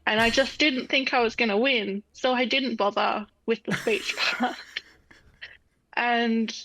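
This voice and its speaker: A woman's voice: fairly high pitch, fairly even intonation, unhurried, fairly bright tone, slight background noise. Chatty woman guest, laughing as she talks. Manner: chatty, laughs often while telling stories. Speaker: guest artist. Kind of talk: casual podcast interview. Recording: dull, dry sound.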